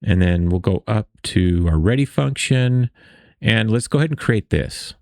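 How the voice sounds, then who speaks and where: A man's voice: fairly low pitch, animated, normal speed, darkish tone, no echo, clean studio sound. A man hosting a casual screen-share coding tutorial, explaining step by step in an informal, conversational way. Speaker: tutorial host.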